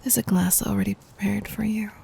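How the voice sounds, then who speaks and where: A woman playing a gentle, dominant demon queen in an ASMR roleplay, teasing and intimate, in a breathy whisper. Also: mid-range voice, fairly even intonation, full character voice. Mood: playful, possessive. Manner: slow, intimate, commanding.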